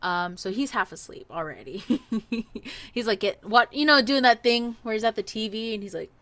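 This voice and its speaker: A woman telling a personal story in a casual, animated, chatty way, acting out bits of dialogue as she goes. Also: fairly high pitch, moderate pace.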